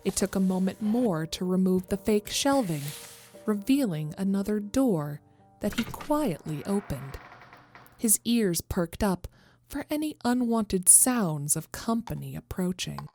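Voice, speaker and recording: A woman's voice: medium pitch, expressive, a little slow, dark tone, soft background music. A woman narrating a scripted horror story as its storyteller.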